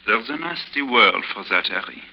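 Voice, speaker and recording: An actor playing a guarded, principled French newspaperman in a 1950s radio drama, speaking gravely and with measured reticence. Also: mid-range voice, lively intonation, muffled recording. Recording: noisy old 1950s radio recording.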